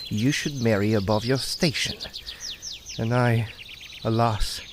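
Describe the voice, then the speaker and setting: A man's voice: mid-range voice, slow, background noise, natural voice. An actor playing an earnest, devoted young man in a radio drama, speaking with an old-fashioned turn of phrase. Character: young male village lover, earnest and devoted.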